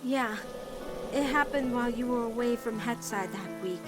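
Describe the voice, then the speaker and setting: A woman voicing a caring, steadfast teenage witch girl in an animated-style comic dub, reasoning firmly and tenderly. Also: fairly high pitch, moderate pace, over music, close to an everyday voice. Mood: softly chatting.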